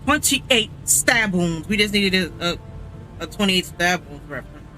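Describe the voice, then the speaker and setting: A man's voice: sits high, lively intonation, unhurried, sharp tone, soft background music, poor audio. A man giving casual commentary while playing through a video game. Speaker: gameplay streamer.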